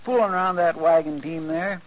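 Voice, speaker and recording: An actor playing a nosy, deferential marshal's sidekick with an in an old-time radio drama. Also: male voice, a little high, steady tone, fairly quick, close to an everyday voice. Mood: suspicious. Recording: muffled, noisy old radio recording.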